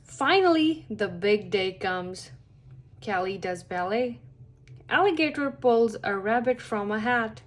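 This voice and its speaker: A woman reading a picture book aloud to young children, with expressive, deliberate phrasing. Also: medium pitch. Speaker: children's story reader.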